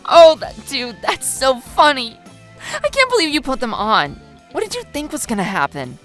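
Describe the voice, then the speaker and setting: Woman acting a high, giggly, teasing teenage schoolgirl. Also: huge pitch swings, normal speed, fairly bright tone, faint music behind, heavily acted. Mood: gleeful mockery. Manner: giggly, gasping, breaks into laughter. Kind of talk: dramatized audio story dub.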